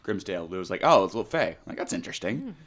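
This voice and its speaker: A man's voice: deep voice, big pitch swings, rapid-fire, a bit bright. A man co-hosting a podcast, bantering casually in an irreverent way with plenty of swearing. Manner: irreverent, profane. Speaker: podcast co-host.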